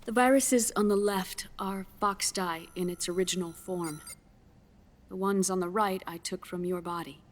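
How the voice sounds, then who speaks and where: Woman acting solemn, low-voiced female game scientist. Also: steady tone, close to an everyday voice. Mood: clinical, explanatory. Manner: measured, explanatory, solemn. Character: grave female scientist delivering a grim diagnosis. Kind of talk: dramatic video game cutscene acting.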